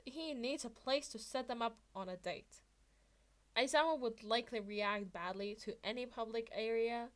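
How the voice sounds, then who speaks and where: Woman reading fan fiction out loud, kinda high voice, expressive storytelling. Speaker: fan fiction reader, narrator. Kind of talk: expressive scripted story narration.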